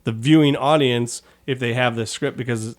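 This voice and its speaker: Male podcast host, mid-pitched, informal and joking, rambles through casual interview questions. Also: steady tone, moderate pace.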